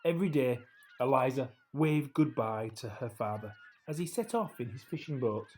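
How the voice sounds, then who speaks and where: A man narrating a children's story as a storyteller, with measured, expressive phrasing and deliberate pauses. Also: medium pitch, slight background noise.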